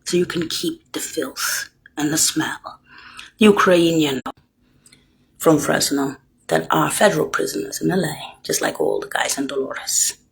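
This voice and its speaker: Very husky low-voiced older woman. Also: animated, unhurried, low-quality recording. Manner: lurches between hushed whispers and loud emphatic bursts; accusatory, addresses listeners directly. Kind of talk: rambling monologue to camera.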